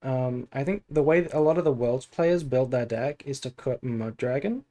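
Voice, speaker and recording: Male streamer, mid pitch, dark soft tone, drowsy and low-key, casual commentary. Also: normal speed. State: sleepy. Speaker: game streamer. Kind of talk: casual gameplay stream chat and deck analysis.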